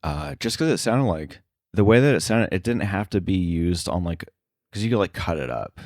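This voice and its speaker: A man talking casually as an interview guest, halting often and correcting himself as he goes. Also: low voice, animated, gravelly, a little mellow, dry recording, clean studio sound. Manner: halting, filler-heavy, self-correcting. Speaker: podcast guest, sound editor.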